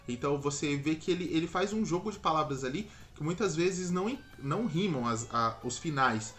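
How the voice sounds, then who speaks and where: Higher-pitched young Brazilian man, casual and slangy. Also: a bit bright. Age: young adult. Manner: informal, slangy, conversational. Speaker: music reaction video host. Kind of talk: casual video commentary.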